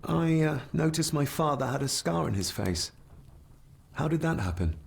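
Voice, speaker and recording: An inquisitive young gentleman with lively intonation. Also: a little high, moderate pace, vocal fry, slightly rough, heavily acted. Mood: polite, probing. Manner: polite, questioning, formal. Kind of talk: video game drama dialogue and inner monologue.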